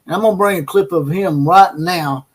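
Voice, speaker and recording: A man's voice: sits high, normal speed, breathy edge. Brash, crude-talking male sports ranter. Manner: brash, crude and mocking, with fillers and restarts. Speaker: host of a college football commentary channel. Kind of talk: ranting opinion commentary.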